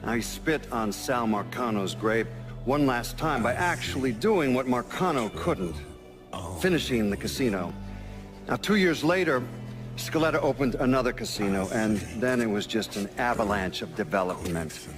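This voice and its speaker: An actor playing a matter-of-fact federal investigator recounting mob history, conversational, as in a documentary interview. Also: male voice, medium pitch, lively intonation, normal speed, music in the background, close to an everyday voice. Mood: matter-of-fact, reflective. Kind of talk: mock-documentary interview in a video game.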